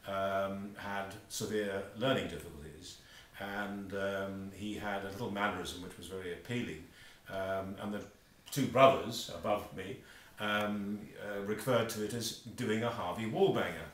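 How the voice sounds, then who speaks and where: A man in his seventies with a Lancashire accent, answering audition questions as team captain, halting with ums and pauses. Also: lowish voice, steady tone, fairly bright tone. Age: 70s. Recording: echoey room, mic far off.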